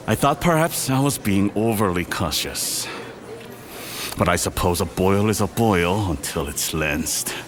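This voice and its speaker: Low-voiced, powerful uncle. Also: male voice, expressive, slow pace, pauses often, a bit bright, full character voice. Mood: grave, resolute. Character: powerful Korean businessman uncle, jovial but ruthless. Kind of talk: audio drama acting. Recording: music behind the voice.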